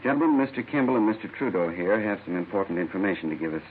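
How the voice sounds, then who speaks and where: An authoritative chief of detectives played by a man speaks in a deep voice at a quick clip. He is formal and businesslike, in vintage radio drama style on a tinny old recording. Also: animated, slight background noise, lightly acted. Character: brisk, authoritative older police chief of detectives. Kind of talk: 1950s radio drama acting.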